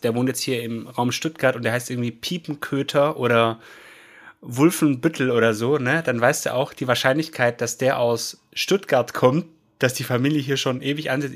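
A man in his thirties who officiates weddings, talking in a relaxed, rambling way on a podcast. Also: medium pitch, moderate pace. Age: 30s. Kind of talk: casual chat.

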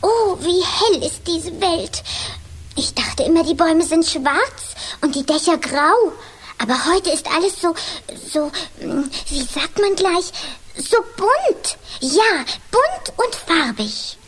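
A woman voicing a cute, curious little ghost with a small childlike voice and slow, wondering delivery in a children's audio play. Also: extremely high pitch, expressive, fairly bright tone, exaggerated voice. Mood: amazed.

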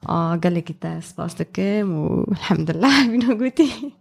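A woman's voice: lowish voice, expressive, racing, creaky, slightly rough. A woman speaking as an interpreter on a podcast, in spontaneous spoken translation.

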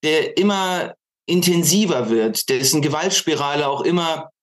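A man answering as a guest in a political discussion, haltingly and deliberately, repeating words as he formulates. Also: a little high, animated. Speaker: interview guest, author.

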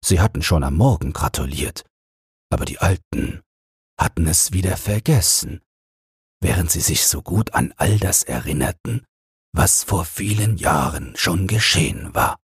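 A man reads a fairy tale as a slow bedtime narration. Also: sits low, lively intonation, breathy edge, dry recording, studio quality. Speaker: audiobook reader.

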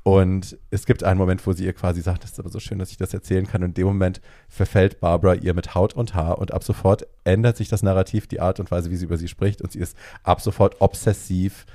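A man co-hosting a podcast, casually retelling a film's plot in a lively way and dropping in English phrases. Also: low voice, fast, hardly any pauses, no room sound, clean studio sound. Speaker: podcast co-host. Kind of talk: casual chat retelling a film.

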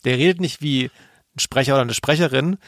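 A man's voice: medium pitch, brisk, fairly bright tone, dry recording, clean studio sound. A man co-hosting a podcast in casual, analytical chat, often checking for agreement with little tag questions. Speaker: podcast co-host.

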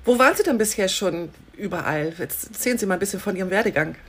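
A woman interviewing a guest on a podcast, asking brisk prompting questions. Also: medium pitch, animated, a bit bright, husky.

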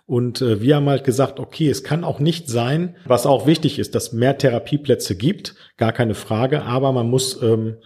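A man's voice: medium pitch, normal speed, hardly any pauses, darkish tone, husky. A man explaining things earnestly in a casual interview, as a teacher and social worker.

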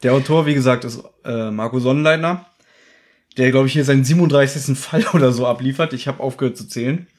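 A man's voice: mid-range voice, fast talker, a little mellow. A man co-hosting a casual podcast chat about audio dramas, with a teasing, needling manner. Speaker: podcast co-host.

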